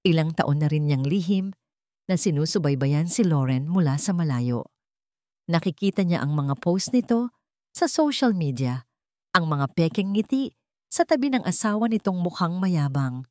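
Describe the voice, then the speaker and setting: A woman's voice: sits low, animated, moderate pace, mellow, no room sound, clean studio sound. A woman narrating a scripted dramatic audiobook story.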